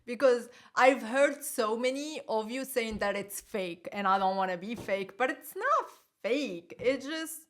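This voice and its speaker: A woman from Morocco, a podcast guest talking casually, with lively emphasis and frequent laughter. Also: a little high, unhurried, hardly any pauses. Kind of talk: casual interview chat.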